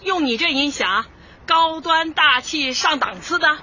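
An actress playing a cheerful, devoted older community dance organizer in a radio comedy, exclamatory and folksy. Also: female voice, high voice, lively intonation, unhurried, sharp tone, a little hiss or hum, heavily acted. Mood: hearty, teasing. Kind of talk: light comedy radio drama acting. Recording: dull lo-fi audio, faint music.

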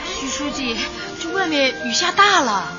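A woman's voice: high-pitched, normal speed. An actress playing a young female community worker, deferential and admiring toward her boss, in a radio drama. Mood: concerned. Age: young adult. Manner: deferential, expressive. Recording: dull band-limited radio drama, music behind.

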